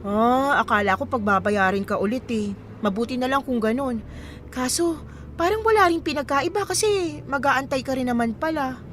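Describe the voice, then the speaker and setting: A kind, higher-voiced young Filipina. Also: female voice, moderate pace, a little hiss or hum. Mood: curious, chatty. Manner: casual, expressive reactions. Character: kind, curious young woman traveling home. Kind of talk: radio drama dialogue.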